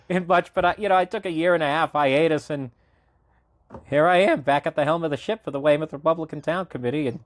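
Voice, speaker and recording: A man's voice: on the high side, a little fast, no room sound. Man, late twenties, from Massachusetts, podcast guest, rambling casual talk, lots of fillers, dry close sound. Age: 20s. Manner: talkative, rambling, frequent fillers and restarts. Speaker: podcast guest, local party committee chair. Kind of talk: casual interview conversation.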